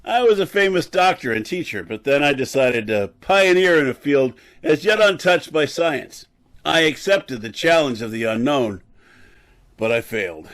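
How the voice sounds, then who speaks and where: A man's voice: fairly high pitch, expressive, normal speed, a bit bright. A man playing an embittered, drunken, disgraced professor and brain surgeon in an old-time radio drama, lecturing and faltering over his words. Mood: bitter, defiant.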